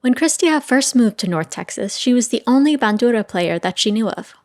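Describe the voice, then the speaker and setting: A woman's voice: on the high side, animated, fairly quick, a little mellow, no echo, studio quality. Woman narrating a news feature. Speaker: reporter and narrator. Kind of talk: scripted narration.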